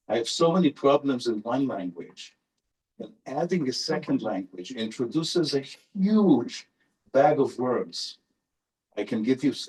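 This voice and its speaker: A man's voice: medium pitch, darkish tone, low-quality recording. A man, a professor, answering audience questions in an unscripted academic talk with wry rhetorical questions and emphatic repetition.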